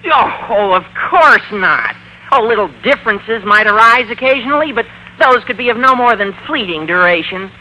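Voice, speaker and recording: A man playing an excitable, eager teenage high school boy in an old radio comedy, with a theatrical, slangy delivery. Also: moderate pace, heavily acted. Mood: earnest. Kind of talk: 1940s-50s radio comedy acting. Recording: noisy old-time radio recording.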